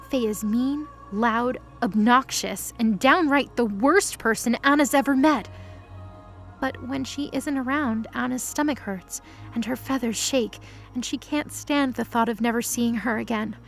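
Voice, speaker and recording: A woman's voice: a little high, measured pace, faint music behind. A woman narrating a scripted present-tense mythology drama.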